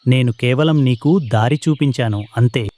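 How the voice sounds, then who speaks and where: Guy doing a kind young husband bird in a cartoon, gentle and encouraging, medium pitch. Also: moderate pace, lightly acted. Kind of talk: cartoon moral story dialogue.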